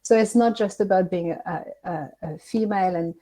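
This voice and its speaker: A woman panelist whose first language is not English, speaking haltingly on a webinar panel with many restarts. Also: on the low side, dark tone.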